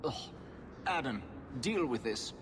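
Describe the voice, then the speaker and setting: A man's voice: mid-range voice, big pitch swings, takes it slowly, background noise. A man playing an officious harbour master, curt and dismissive, in a video game. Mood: bored, irritated. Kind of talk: video game dialogue acting.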